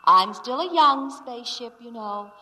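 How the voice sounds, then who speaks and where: A woman's voice: on the high side, lively intonation, a little slow, sharp tone. A woman playing a young, romantic spaceship computer, a haughty and coaxing jilted lover in 1950s radio science fiction. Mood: wounded, scornful. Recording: vintage radio recording, long hall reverb.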